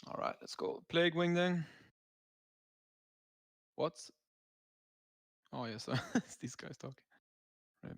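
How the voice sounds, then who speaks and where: A man's voice: fairly high pitch, slow, gravelly, pauses often, a bit bright, dry recording, studio quality. A man giving casual, laid-back gameplay commentary as a raid leader, explaining tactics as he goes.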